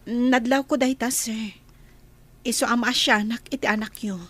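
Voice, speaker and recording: A sensible, caring young woman on staff, with a high voice and an Ilocano accent. She speaks respectfully, in radio-drama style. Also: fairly even intonation, moderate pace, fairly bright tone. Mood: reassuring. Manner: respectful, reassuring. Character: caring, sensible young female employee advising her boss.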